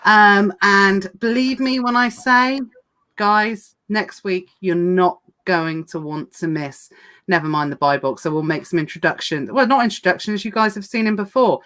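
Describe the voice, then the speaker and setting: A woman hosting a casual livestream chat, talking fast with lots of fillers and restarts, her voice going hoarse. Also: medium pitch, a bit bright. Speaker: podcast host.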